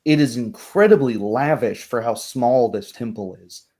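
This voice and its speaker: A man acting as game master, improvising narration for a tabletop roleplay in hesitant, pausing phrases. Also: mid-range voice, darkish tone.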